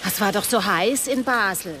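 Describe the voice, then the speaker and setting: An actress playing a polite, helpful and unsuspecting daughter in a German radio drama. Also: female voice, mid-range voice, moderate pace, fairly bright tone, a little hiss or hum, natural voice. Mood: politely curious. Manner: polite, casual.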